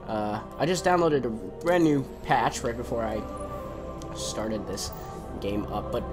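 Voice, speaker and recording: A man's voice: medium pitch, lively intonation, unhurried, over music. Man commentating with a cold. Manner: casual, rambling, apologetic. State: has a cold, sore voice. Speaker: gameplay commentator. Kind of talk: let's play commentary. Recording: voice over loud game audio.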